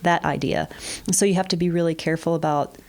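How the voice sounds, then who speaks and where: A woman who teaches and interprets sign language, giving clear, teacherly explanations with examples during an interview. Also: deep voice, fairly even intonation, fast talker.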